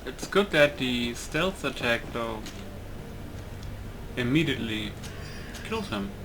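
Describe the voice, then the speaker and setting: A man talks through a video game at an unhurried pace. His commentary is casual and low-key, as if thinking aloud, with clear noise behind his voice. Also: lively intonation. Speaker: gamer, let's play host. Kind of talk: casual let's play commentary.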